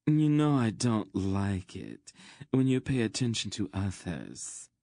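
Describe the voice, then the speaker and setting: A man's voice: on the low side, very animated, slow, dark tone, no room sound, clean studio sound, voice pushed up, heavily acted. Man doing a petulant, whining spoiled young man.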